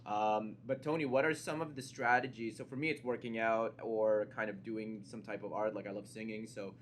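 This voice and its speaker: A man's voice: lowish voice, steady tone, moderate pace. Chatty man hosting a casual interview. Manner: chatty, laughs easily, rambling questions. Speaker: host, interviewer. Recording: faint background noise.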